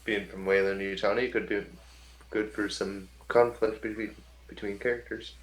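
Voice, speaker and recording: A man's voice: on the low side, fairly even intonation, normal speed, low-quality recording. A man running a tabletop role-playing game as game master, talking casually and laughing easily with his players. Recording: faint background noise.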